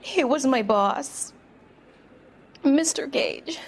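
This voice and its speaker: A guarded female witness in a detective video game. Her voice is slightly high and she speaks slowly, in halting, terse phrases. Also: lively intonation, full character voice. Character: guarded female shop employee, murder witness. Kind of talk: dramatic game acting.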